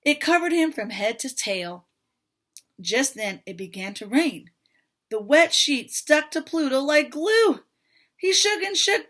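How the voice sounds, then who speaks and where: A woman with a high voice reads a children's story aloud. She is expressive and breaks off to chat with her young listeners and ask them questions.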